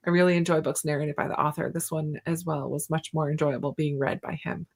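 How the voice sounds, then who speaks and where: Lower-pitched woman, chatty book host. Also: steady tone, fairly quick. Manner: chatty, informal. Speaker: host of a book channel readalong. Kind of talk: casual book chat.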